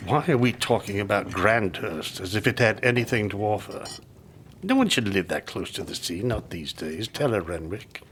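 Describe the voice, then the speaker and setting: A man's voice: lowish voice, animated, normal speed, fairly bright tone. An actor playing a middle-aged, domineering aristocratic father, mocking and theatrical in an audio drama. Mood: haughty. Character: domineering aristocratic father, head of a grand estate.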